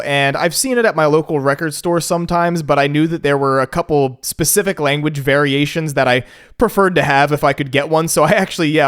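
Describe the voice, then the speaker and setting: Male co-host, fairly high and smooth, loose joking delivery with lots of fillers. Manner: rambling, joking, frequent fillers. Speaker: podcast co-host. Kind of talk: casual podcast chat.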